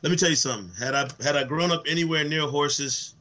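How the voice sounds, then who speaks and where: A man co-hosting a sports podcast, a little hoarse from travel, telling stories enthusiastically in casual chat with lots of fillers. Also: a little high, fast talker. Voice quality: slightly hoarse. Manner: animated, enthusiastic storyteller with many fillers. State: voice worn from travel. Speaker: podcast co-host.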